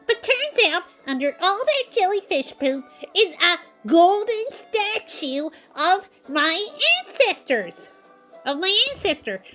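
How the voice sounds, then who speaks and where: A woman voicing an excitable, eager young male sponge fry cook in a cartoon audio drama, with a stammering delivery. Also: very high-pitched, takes it slowly, soft background music, pitched above the performer's own voice, heavily acted. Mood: proud, excited.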